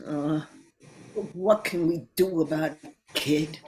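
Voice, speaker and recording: A woman's voice: low-pitched, fairly even intonation, takes it slowly, warm tone, low-quality recording. A woman playing a grumbling, slangy old sprig of Christmas holly in a radio play, wisecracking and drawling. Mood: wry.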